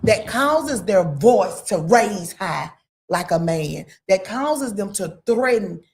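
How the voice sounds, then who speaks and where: A woman's voice: medium pitch, expressive, slow pace, darkish tone. Woman chatting on a radio talk show, goes on and on with lots of "you know", big swoops up when she wants to stress a point. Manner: rambling and emphatic, peppered with "you know".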